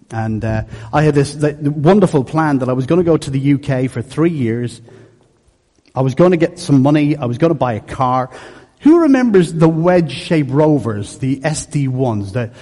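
Lively Irish preacher in an echoey hall. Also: male voice, a little high, slightly rough. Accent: Dublin Irish, softened by years in England. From Ireland. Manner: conversational, jokey, animated storytelling. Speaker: pastor. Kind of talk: sermon.

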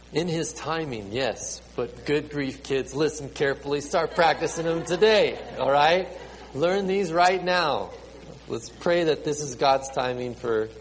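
A man in his late thirties preaching a sermon to a congregation with children, halting and repeating words, with many ums. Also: mid-range voice. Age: 30s. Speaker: pastor. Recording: reverberant hall, faint ambient noise.

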